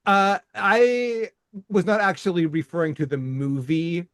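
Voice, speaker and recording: A man's voice: high voice, fairly even intonation, a little slow, fairly bright tone, smooth, no room sound, studio quality. A middle-aged man co-hosting a movie podcast, rambling casually with restarts and swearing. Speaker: podcast co-host.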